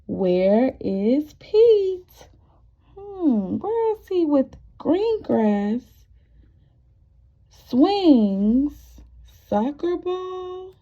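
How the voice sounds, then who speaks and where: A woman teacher reading a picture book aloud to young children, expressive and encouraging, often asking the listeners questions. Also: high-pitched, very slow, long pauses. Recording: dull, muffled lo-fi sound.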